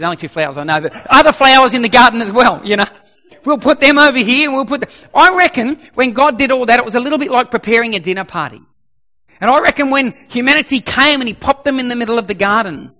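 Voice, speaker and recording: A middle-aged pastor with a high, bright voice that has gone a little croaky. He preaches emphatically, hammering home repeated lines and then easing into quiet prayer. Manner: emphatic, swings from loud repeated exhortations to soft prayer. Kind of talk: sermon.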